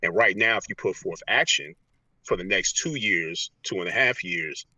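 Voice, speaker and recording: A man with a very deep voice that has a rough, husky edge teaches in a conversational, motivational way. He is earnest and repeats phrases for emphasis. The sound is dry and a little distant, as on a remote call. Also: animated, normal speed, pushed and bright, dry recording. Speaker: interview guest, motivational speaker. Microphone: earpiece on a remote call.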